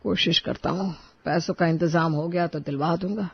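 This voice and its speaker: A man's voice: fairly high pitch, fairly even intonation, moderate pace, gravelly, husky. A man voicing a dismissive proud husband. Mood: tired. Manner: scornful, condescending. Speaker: voice actor. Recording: dull, dry studio recording.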